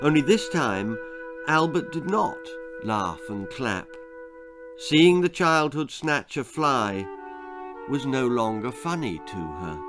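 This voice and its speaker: A man reading a scripted children's horror-comedy story as its author, in a dramatic, expressive manner. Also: mid-range voice, slow pace. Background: faint music.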